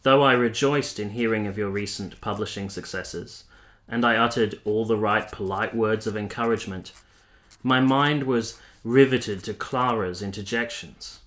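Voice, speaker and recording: Actor as courteous, earnest army captain. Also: male voice, lowish voice, moderate pace, fairly bright tone, close to an everyday voice. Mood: smitten, reflective. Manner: polite, formal, earnest. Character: courteous, smitten young army captain in 1870s Italy. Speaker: actor and narrator in a radio play. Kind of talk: radio drama acting, partly first-person narration.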